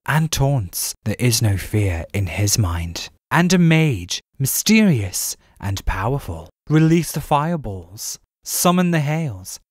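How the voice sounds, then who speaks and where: Man for scripted trailer-style narration, highly varied intonation, dry studio-like sound. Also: no room sound. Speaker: voice actor. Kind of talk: scripted promotional narration for a voice reel.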